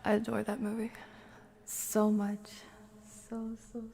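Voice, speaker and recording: A woman hosting an ASMR livestream, chatting casually and playfully to viewers in a soft, breathy whisper and murmur. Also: mid-range voice, level tone, a little slow, a little hiss or hum.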